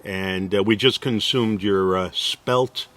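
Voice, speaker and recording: Brooklyn man hosting, lowish voice, halting with uh fillers, opinionated. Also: a bit bright. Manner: hesitant, many uh fillers, opinionated. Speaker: host. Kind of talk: casual interview.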